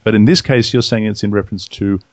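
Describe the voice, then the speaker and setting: A man's voice: lowish voice, steady tone, quick pace, no echo. A man hosting a casual Bible study talk, eagerly reading verses aloud and interjecting with questions. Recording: muffled, lo-fi audio.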